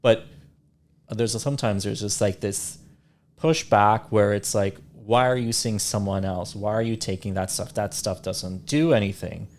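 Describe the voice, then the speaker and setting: A man's voice: on the low side, steady tone, darkish tone, a little hiss or hum. A man chatting casually as a podcast host, often restarting sentences as he thinks aloud. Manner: halting, self-correcting, thinking aloud. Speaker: podcast host, naturopathic doctor.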